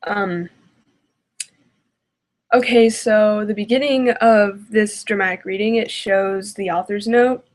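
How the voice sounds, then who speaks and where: A woman's voice: mid-range voice, fairly even intonation, slow, long pauses, darkish tone. A woman hosting a reaction video with casual, sarcastic, foul-mouthed commentary.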